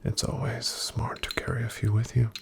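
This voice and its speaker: A man whispering as a gravelly calm paladin. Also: mid-range voice, normal speed, lightly acted. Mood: calm hushed. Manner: soft, gentle whispering to the listener. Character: calm, careful male paladin travel companion. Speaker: ASMR roleplay performer. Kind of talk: ASMR roleplay, whispered.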